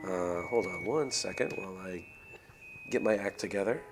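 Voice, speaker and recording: A man with a fairly low voice hosts a casual game stream. He talks to his viewers haltingly, working things out aloud as he goes. Game music plays behind his voice. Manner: halting, hesitant, thinking aloud. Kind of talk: casual livestream chat to viewers.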